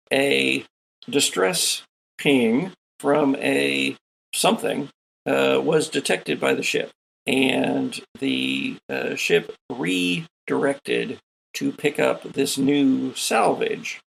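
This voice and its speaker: A man narrates a tabletop sci-fi game at an unhurried pace. His voice is heavily creaky and husky, and he describes things deliberately, pausing as he goes. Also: medium pitch, fairly bright tone, rough recording. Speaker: game master.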